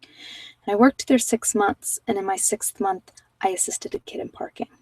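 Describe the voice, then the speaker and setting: A woman's voice: medium pitch, fairly even intonation, normal speed, a little mellow, no room sound, far from the mic, poor audio. A woman talking in a casual, conversational way as she hosts an informal tarot card reading. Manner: conversational, informal, anecdotal asides.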